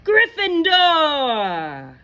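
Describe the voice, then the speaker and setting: A woman reading a storybook, voicing a magical talking hat that muses and shouts its verdicts in long drawn-out cries. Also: huge pitch swings, exaggerated voice. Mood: triumphant. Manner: booming, drawn-out shouts after musing hums.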